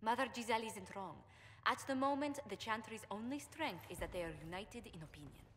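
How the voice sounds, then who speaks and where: Diplomatic adviser in a fantasy game, measured but lilting voice, echoey hall, faint noise. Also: female voice, a little high, animated, a bit bright. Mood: reasoned. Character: composed, diplomatic female political adviser. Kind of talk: dramatic video game dialogue.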